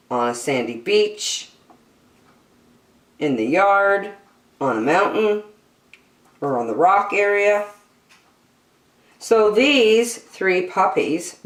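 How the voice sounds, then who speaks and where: A woman's voice: on the low side, roomy sound. A woman hosting story time, reading a picture book aloud to young children expressively, with chatty asides and questions.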